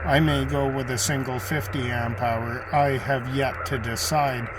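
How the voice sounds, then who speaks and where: A man giving an unscripted gear review in a measured, halting way with deliberate pauses. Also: mid-range voice, fairly even intonation, noisy background. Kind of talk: unscripted product review talk.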